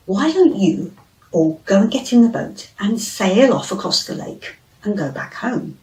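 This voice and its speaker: A woman voicing Jesus as a kind, calm man in a gentle, reassuring way for a children's story. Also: medium pitch, a little slow, lightly acted. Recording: roomy, distant mic.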